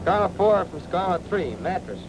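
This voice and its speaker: A man playing a brisk fighter pilot in a 1940s military film, making clipped, urgent radio calls from the cockpit. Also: high voice, very animated. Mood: alert, urgent. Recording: muffled, noisy 1940s radio-call soundtrack.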